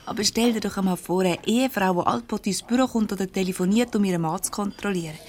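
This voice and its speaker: A sharp-tongued young Swiss German secretary played by a woman, chatty and insinuating, in a radio comedy. Her voice sits at a medium pitch, on an old recording with faint noise. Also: fast talker, close to an everyday voice. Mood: flustered, jealous. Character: gossipy, sharp-tongued, jealous young female office secretary. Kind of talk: light radio comedy play acting. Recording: noisy 1970s radio play recording.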